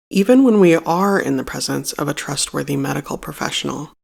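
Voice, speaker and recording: A meditation guide reading a scripted narration in a measured, solemn and instructive manner. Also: medium pitch, gravelly.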